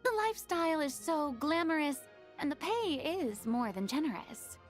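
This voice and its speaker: A polite young woman in a video game. Her voice is high and very animated, with faint music behind it. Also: normal speed. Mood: incredulous.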